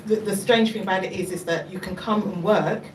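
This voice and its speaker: A woman's voice: mid-range voice, steady tone, fairly quick, crowd noise. A woman on a panel, speaking thoughtfully and steadily in an open discussion. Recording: echoey room, distant mic, noisy.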